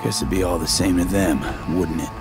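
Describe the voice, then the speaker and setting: A hardened street mercenary for a video game. The voice sits low, with a blunt, sardonic, clipped delivery. Music runs clearly behind it. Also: male voice, a little fast. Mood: wary. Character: blunt, cynical street mercenary, man, young adult. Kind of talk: video game cutscene acting.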